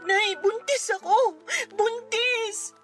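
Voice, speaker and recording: An actress playing an anxious, exasperated twenty-year-old daughter in a radio drama, speaking in a plaintive, emotional way. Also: female voice, very high voice, drawn-out words, soft background music, heavily acted. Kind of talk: Tagalog radio drama acting.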